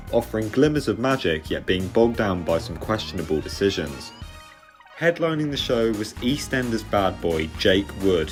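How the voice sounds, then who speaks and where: Low-voiced British man reviewing over music. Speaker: theatre reviewer and host. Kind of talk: scripted review narration. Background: music under the voice.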